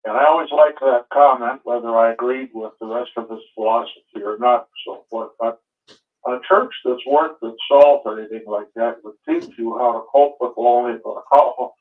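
A man's voice: medium pitch, moderate pace. A man calling in to a talk show, speaking in long runs padded with trailing fillers. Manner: repetitive, trailing fillers ("and so forth"). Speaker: caller. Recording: phone line.

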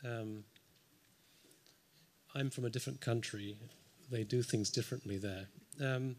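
A man's voice: mid-range voice, fairly even intonation, unhurried, a little mellow. A man from the United Kingdom, giving an unscripted panel response in a large lecture hall into a table microphone, thoughtful with frequent ums and dry asides. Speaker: symposium panelist.

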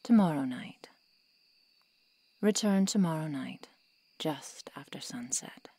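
A woman playing a protective, coolly menacing vampire seductress in a hushed, deliberate, coaxing ASMR roleplay whisper. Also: low-pitched, expressive, husky, no room sound, full character voice.

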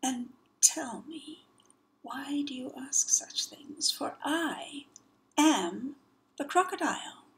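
A woman voicing a sly, menacing male crocodile in a slow, hushed whisper. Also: high voice, animated, voice pushed up, heavily acted, low-quality recording.

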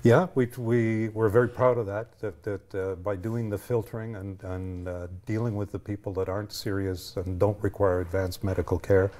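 Male, sixties, interview guest explaining his organization. Deep, relaxed tone. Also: normal speed, few pauses. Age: 60s. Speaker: ski patrol leader, interview guest. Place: TV studio, indoors. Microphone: lapel mic.

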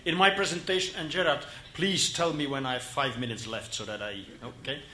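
A man in his fifties from Belgium, a native Flemish speaker, giving a conference presentation in English with a Flemish accent at a podium microphone. He speaks haltingly, with frequent fillers and restarts. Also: mid-range voice, steady tone, sharp tone, a little hiss or hum. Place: conference hall. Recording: echoey conference hall.

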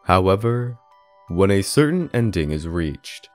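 A man reading scripted video essay narration in a measured, formal manner. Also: low voice, big pitch swings. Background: background music.

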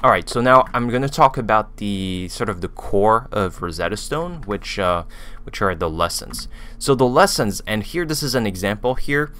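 A man with a French accent speaks in a low voice with a bright, forceful tone. He talks casually and hesitantly, often pausing on fillers and restarting. He is close to a studio microphone in a small room. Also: expressive. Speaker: language learning YouTuber. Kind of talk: casual video review. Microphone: studio mic with pop filter on boom arm.

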